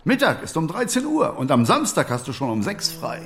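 An actor playing a businesslike warehouse manager in a German radio drama, giving instructions briskly and matter-of-factly. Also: male voice, fairly high pitch, wildly swooping pitch, fairly bright tone.

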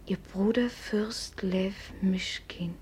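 An actress in a German radio play, playing a proud, sharp-tempered young upper-class woman in a theatrical manner. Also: mid-range voice, slow pace, slight background noise, close to an everyday voice. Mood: tender, hushed.

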